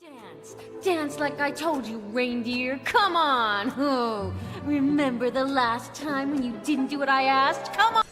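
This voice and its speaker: Young cartoon thief girl, high-pitched, slow menacing commands, cruel, music behind. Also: animated. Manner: commanding, threatening. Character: bossy, cruel young female thief in animated film. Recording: echoing film audio under music.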